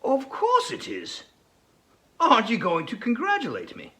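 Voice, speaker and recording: A man's voice: very high-pitched, wildly swooping pitch, unhurried, sharp tone, full character voice. A man playing a defiant, indignant, hot-headed young fiancé in a dramatized novel reading.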